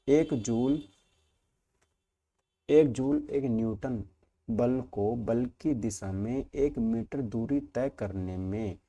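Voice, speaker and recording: A man's voice: fairly low pitch, warm tone. A man teaching an exam-preparation class informally, explaining step by step with frequent check-ins and repeated points. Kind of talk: informal lesson walking through multiple-choice questions.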